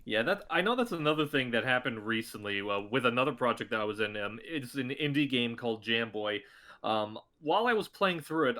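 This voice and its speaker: A man who is a voice actor, chatting casually as a podcast guest, talking excitedly and jumping his voice up high when he acts out what people said.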